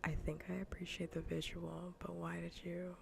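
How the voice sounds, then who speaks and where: Female ASMR gaming streamer: low, gravelly murmur slipping into breathy whisper, casual and unhurried. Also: monotone. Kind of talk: ASMR gaming livestream chat, soft whispering.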